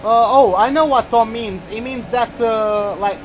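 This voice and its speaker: A man's voice: very high-pitched, unhurried, a little hiss or hum. A young man from Portugal giving loose, rambling commentary while he plays a racing game, now and then swearing when something surprises him. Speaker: gaming channel host. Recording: game music playing behind.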